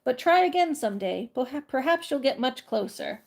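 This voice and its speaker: A woman's voice: high voice, animated, normal speed, creaky, exaggerated voice. Woman voicing a wise little child. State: congested from a cold. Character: wise, calm small child giving advice.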